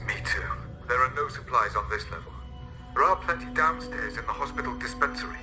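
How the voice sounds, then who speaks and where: An actor playing a brisk, helpful doctor on a space station in a sci-fi video game. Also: male voice, mid-range voice, animated, over music, natural voice.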